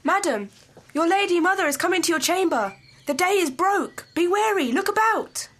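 A woman playing a very high-pitched flustered nurse. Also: expressive, moderate pace, fairly bright tone. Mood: urgent warning. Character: flustered, fussing nurse and servant of a young lady. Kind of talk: student Shakespeare audio drama.

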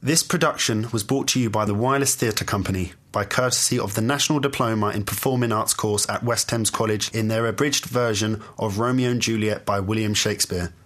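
A British man serves as announcer for an audio drama. His voice is fairly low, and he reads the scripted credits in a formal announcement style. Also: a little fast, hardly any pauses, a bit bright.

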